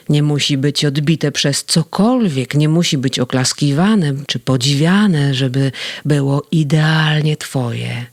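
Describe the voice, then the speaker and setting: A woman voicing a gentle, wise male guardian in a children's story, speaking in a soft, reassuring way. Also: a bass voice, gravelly, no echo, studio quality, exaggerated voice. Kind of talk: storybook reading.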